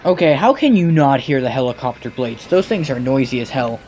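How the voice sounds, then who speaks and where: A man's voice: mid-range voice, lively intonation, fairly quick, darkish tone, faint music behind. A man giving nitpicking video game commentary in a sarcastic, incredulous manner.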